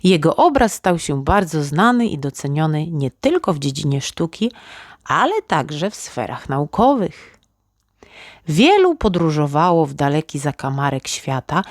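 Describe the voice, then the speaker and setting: A woman reading a children's fairy tale as an expressive narrator. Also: sits low, unhurried, no room sound, clean studio sound. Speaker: children's story reader.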